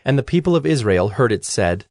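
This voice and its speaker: A man giving a measured, formal narration of scripture as the narrator of a dramatized audio production. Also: mid-range voice, big pitch swings. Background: instrumental music with plucked strings.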